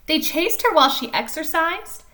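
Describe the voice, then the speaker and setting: A woman reading a picture book aloud to young children in an animated way, pausing to explain and ask questions like a teacher. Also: extremely high pitch. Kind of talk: expressive storybook read-aloud with chatty explanations to children.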